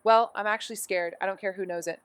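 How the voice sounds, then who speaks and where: An actress plays a cocky young woman sleuth in a mystery audio drama. She has a clear, smooth voice in a close, dry recording. She is playful and glib, rambling on as she overexplains. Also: medium pitch, steady tone, fast, no echo, close to an everyday voice. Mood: uneasy. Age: 20s. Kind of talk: naturalistic audio drama banter.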